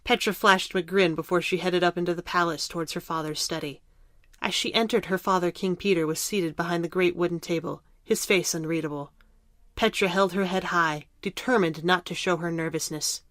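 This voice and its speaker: A woman's voice: lowish voice, fairly even intonation, a little fast, a bit bright, dry recording, studio quality. A woman narrator reading scripted narration for a fantasy audio drama.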